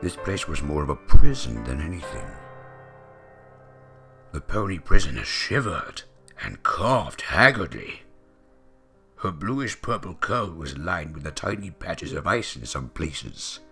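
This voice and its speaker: A man with a deep, gravelly voice narrating a story in a theatrical, deliberate manner, imitating a grand veteran voice actor. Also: sing-song, sharp tone. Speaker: narrator of fan fiction readings. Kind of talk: dramatic scripted story narration. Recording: music behind the voice. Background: background music.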